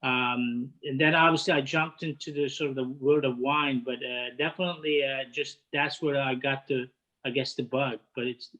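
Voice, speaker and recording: A man in his fifties from Turkey, speaking with a Turkish accent, answering interview questions in halting speech full of "uh" fillers and restarts. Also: medium pitch, fairly even intonation, bright, forceful tone, lo-fi sound. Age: 50s. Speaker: guest, head of catering. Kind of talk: casual interview chat.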